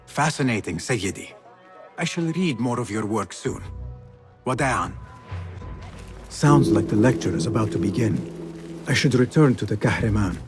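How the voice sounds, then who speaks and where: An actor playing a courteous, guarded young male assassin in a video game, speaking in a measured, restrained way. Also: fairly low pitch, expressive, over music, close to an everyday voice. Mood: politely curious. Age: young adult.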